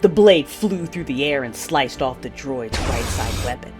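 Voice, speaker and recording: A woman playing a passionate, fierce but wounded Sith warrior, narrating her own story in an intimate, breathy audio-drama style. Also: a bass voice, animated, a little slow, over music. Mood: fierce, driven. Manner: intimate, breathy, intense delivery.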